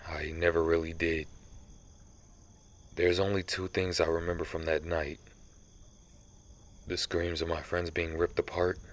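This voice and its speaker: Casting: a man to play a centuries-old vampire slayer of his own kind. Bottomless low register, flat delivery, solemn dramatic storytelling for ASMR audio drama. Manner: grave, measured, dramatic storytelling. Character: brooding centuries-old male vampire who hunts vampires. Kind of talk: ASMR roleplay monologue.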